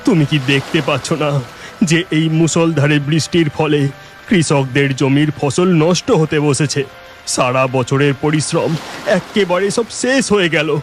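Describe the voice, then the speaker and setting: A man's voice: a little high, measured pace, soft background music, natural voice. A man playing a pious, plainspoken village farmer in a children's cartoon, with an exclamatory, lamenting manner. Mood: despairing.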